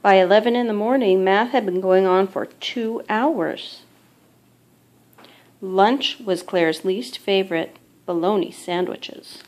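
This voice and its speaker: Mother reading a picture book: female, mid-pitched voice, measured and expressive storytelling delivery. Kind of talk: children's picture book read-aloud.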